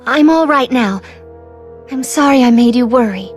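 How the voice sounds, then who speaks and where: A woman voicing an earnest, remorseful young female soldier in an English game dub, with a soft, apologetic, formal manner. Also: on the high side, moderate pace, faint music behind, lightly acted.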